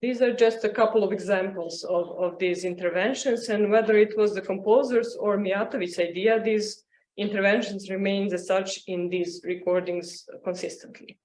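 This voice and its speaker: A woman presents a formal conference paper in English, reading in a measured, scholarly way with the odd stumble. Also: medium pitch, steady tone, a little mellow, slight background noise, poor audio. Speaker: academic presenter.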